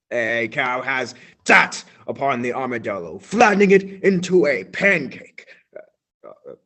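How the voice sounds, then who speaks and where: A man's voice: mid-range voice, a bit bright. A man playing a frazzled male studio announcer in a school radio play, jumpy and flustered.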